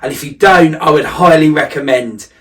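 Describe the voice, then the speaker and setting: A man's voice: fairly high pitch, normal speed, a bit bright. Man vlogging, slightly distant mic. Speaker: running coach vlogger. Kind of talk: casual personal vlog recap.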